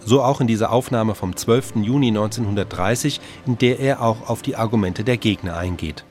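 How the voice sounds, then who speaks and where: A man reads scripted documentary narration in a measured, factual manner. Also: lowish voice, a little mellow, soft background music.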